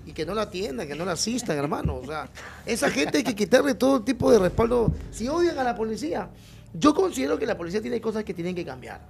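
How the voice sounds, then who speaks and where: A man's voice: high voice, sing-song, fast, vocal fry, a little hiss or hum. A man with a Peruvian Spanish accent giving heated, casual political commentary in a blunt, mocking, sweary way. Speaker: political show panelist.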